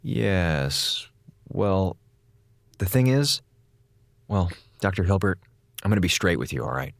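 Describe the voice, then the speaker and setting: A man's voice: low voice, big pitch swings, a little slow, no room sound, clean studio sound, full character voice. A man with an American accent playing a glib, wry, wisecracking communications officer on a space station in a radio drama. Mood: evasive, nervous. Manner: glib, wry, theatrical, stammering when cornered. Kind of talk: radio drama acting with narrated log entries.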